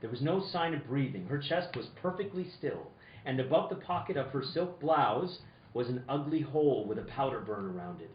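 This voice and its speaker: A man's voice: medium pitch, expressive, moderate pace, pitched below the performer's own voice, lightly acted. A man acting as a hard-boiled, earnest insurance investigator in an old-time radio detective play, with theatrical, slightly stumbling reading. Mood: wry narrating. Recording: roomy, dull, slightly noisy distant recording.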